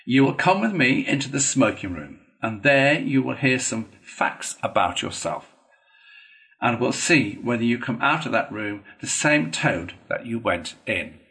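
A man plays a stern, fatherly old badger in a children's storybook. He lectures gravely and eloquently, with slow, deliberate phrases and broad rises and falls in pitch. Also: animated, bright, forceful tone. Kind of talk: storybook character reading.

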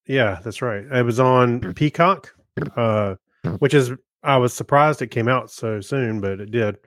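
A man co-hosting a podcast, giving casual reviews in a halting way as he searches for his words. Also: medium pitch, a bit bright, no room sound. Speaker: podcast co-host. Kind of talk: casual film review chat.

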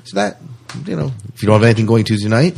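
A man's voice: on the low side, lively intonation, quick pace, creaky, darkish tone, breathy edge. A man hosting a podcast in casual, unscripted chat about sci-fi news, rambling and thinking aloud as he goes. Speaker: podcast host.

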